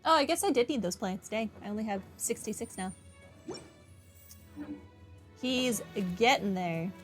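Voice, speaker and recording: A woman's voice: fairly high pitch, lively intonation, a little slow, faint music behind. Wry woman streaming a game. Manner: wry, talks back to the game. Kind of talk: casual playthrough commentary.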